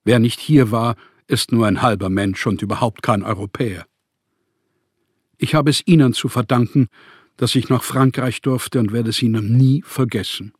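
An actor playing a proud, embittered male writer and journalist in a radio play, speaking formal letters with emphatic, declarative phrasing and a husky voice. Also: medium pitch, moderate pace, dry recording, studio quality, natural voice. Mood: earnest, grateful. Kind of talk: radio play acting, reading letters aloud.

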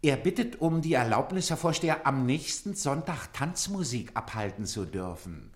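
An actor playing a servile, dutiful clerk in a German radio play, deferential, formal and halting as he reports to his superior. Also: medium pitch, sing-song.

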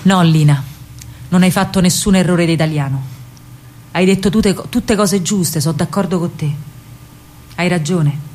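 A woman playing a disillusioned, fatherly older Roman man in a radio monologue, speaking gravely and deliberately in a hushed way with a Roman accent. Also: on the low side, slight background noise, pitched below the performer's own voice, close to an everyday voice. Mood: tender, helpless.

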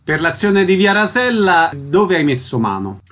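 A man's voice: fairly high pitch, big pitch swings, measured pace, a bit bright, soft background music. A man hosting a radio interview, introducing his guest and asking plain, direct questions. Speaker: radio host.

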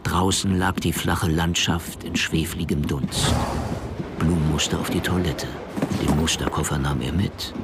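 A man's voice: very deep voice, fairly even intonation, a little slow, heavy background noise. A man narrating a crime story as the scripted storyteller of a radio drama.

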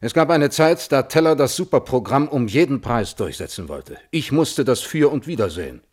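A man acting as a thoughtful, scrupulous scientist in a German radio play, testifying in a measured, carefully worded way. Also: medium pitch, lively intonation, darkish tone, close to an everyday voice. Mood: guarded, composed. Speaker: witness under questioning.

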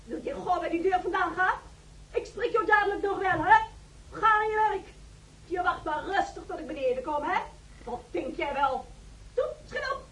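A woman's voice: extremely high pitch, expressive, normal speed, fairly bright tone. A woman playing a stingy, haughty lady of the house in a Dutch radio drama, scolding her servant imperiously. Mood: indignant scolding. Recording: faintly noisy radio play recording.

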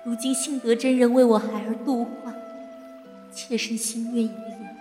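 A woman's voice: on the high side, fairly even intonation, normal speed, mellow. Actress as echoing, sorrowful female ghost. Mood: sorrowful, resigned. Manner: humble, formal, archaic speech. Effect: ghostly long reverb. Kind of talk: period audio drama acting. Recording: echoing long reverb, background music.

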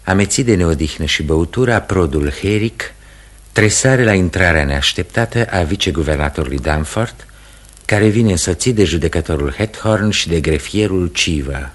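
An actor as a solemn narrator, reading scripted scene-setting for a radio play in a measured, literary way. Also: male voice, deep voice, animated.

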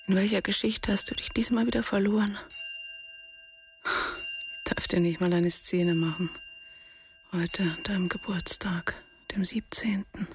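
A bitter middle-aged wife in a radio play. Her voice is very breathy and husky, and she talks slowly and broodingly, close and dry, full of longing and reproach. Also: mid-range voice, no room sound, exaggerated voice. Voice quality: breathy, half-whispered. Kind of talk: radio drama interior monologue.

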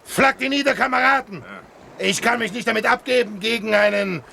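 An actor in a radio play voicing a brutal, lecherous pirate captain with a domineering, swaggering manner. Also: male voice, extremely high pitch, brisk, vocal fry, heavily acted. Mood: blustering, defiant. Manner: menacing, domineering. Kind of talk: German radio play acting. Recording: rowdy crowd around the voice.

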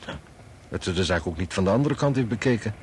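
An actor playing a level-headed, sober friend who talks in a cool, terse, quietly challenging way in a Dutch radio drama. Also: male voice, lowish voice, lively intonation, fast, a little hiss or hum, natural voice. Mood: sober, probing.

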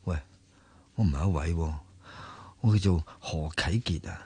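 A man's voice: low-pitched, normal speed, warm tone, breathy edge, no echo. An actor playing a bewildered injured man in a Cantonese radio drama, groaning and protesting with a Hong Kong accent. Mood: confused. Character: bewildered injured man insisting on his own identity.

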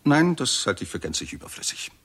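An actor playing a confident, impatient British astronomy professor in a 1960s German radio drama, speaking precisely and insistently. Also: male voice, mid-range voice, sing-song, fairly quick, a bit bright, breathy edge. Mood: decisive.

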